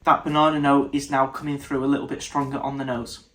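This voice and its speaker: Британец с заложенным носом. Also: male voice, mid-range voice, little pitch movement, quick pace, a bit bright, soft background music. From United Kingdom. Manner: thoughtful, stops and restarts mid-sentence. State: nasal, congested. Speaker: whisky reviewer. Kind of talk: casual tasting review to camera.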